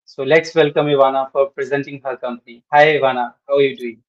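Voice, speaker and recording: A man's voice: medium pitch, fairly even intonation, fast talker, muffled recording. A man hosting a webinar, giving a polite and formal introduction that sounds partly scripted. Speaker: webinar host.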